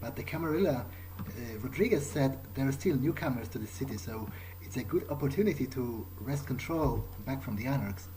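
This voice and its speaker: Guy roleplaying while gaming, kinda hesitant, thinks out loud, game ambience noise behind him. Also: mid-range voice, expressive, fairly quick, few pauses, rough recording. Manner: hesitant, musing, thinking aloud. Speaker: let's player. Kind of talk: in-character roleplay gameplay commentary.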